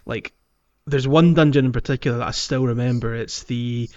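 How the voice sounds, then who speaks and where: A man's voice: medium pitch, fairly even intonation, moderate pace, darkish tone, dull audio. A man chatting casually as a panelist on a gaming podcast.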